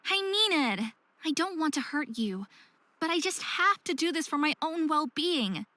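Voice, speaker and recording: A woman's voice: high voice, expressive, moderate pace, bright, forceful tone, big-room sound. A woman playing an earnest, stubborn and curious young woman of faerie descent in a visual novel game. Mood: pleading, resolute. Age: young adult. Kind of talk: visual novel voice acting with inner monologue.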